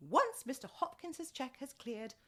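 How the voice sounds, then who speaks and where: A woman's voice: high voice, very animated, normal speed, sharp tone, exaggerated voice. A woman playing a wry, grandiloquent middle-aged dating agency owner in a radio comedy, with a British accent. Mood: wry, grandiose. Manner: grandiloquent, sardonic. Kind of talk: radio comedy play acting.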